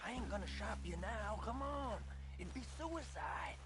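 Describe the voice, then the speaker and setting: An actor playing a nervous young American outlaw held captive, stammering and eager to please, in a video game. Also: male voice, sits high, expressive, moderate pace, noisy background. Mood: hushed, wary. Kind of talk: video game drama acting. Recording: loud music and horse hooves behind.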